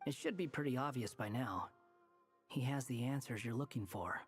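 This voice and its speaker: A woman's voice: very deep voice, normal speed, faint music behind. A woman voicing an aloof, sardonic young male wanderer in a video game, cool, dry and dismissive. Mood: confident.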